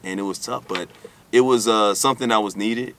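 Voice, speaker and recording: Low-voiced man telling his life story. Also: a little fast, a bit bright, a little hiss or hum. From United States, born in New York, raised in Alabama. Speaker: interview guest, author. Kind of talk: candid personal storytelling in an interview.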